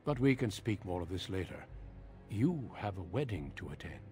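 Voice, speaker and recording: A man's voice: very animated, normal speed, warm tone, faint music behind. A man playing a grave, courteous middle-aged warrior commander in a fantasy video game, with formal, composed delivery. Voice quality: low, husky.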